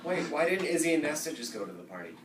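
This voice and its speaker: A man's voice: fairly high pitch, big pitch swings, rapid-fire. A man presenting comedic readers' theatre, recorded from far off in an echoey room with an audience. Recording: echoey room, distant mic, audience noise.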